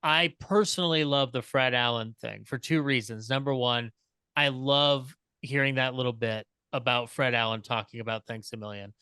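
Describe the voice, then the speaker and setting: A man with a bright, smooth and crisp voice speaks as a panelist in a dry, close sound. He is earnest and analytical, explaining details in a casual enthusiast's way. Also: mid-range voice, fairly even intonation, normal speed, no echo. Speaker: panelist and podcaster. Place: online video call panel.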